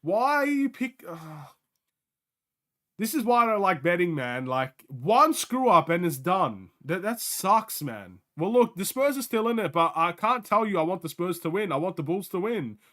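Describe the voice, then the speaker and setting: A young man from Australia with a light Australian accent, hosting a live game watchalong in casual, excitable play-by-play chat, often laughing. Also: high-pitched, normal speed.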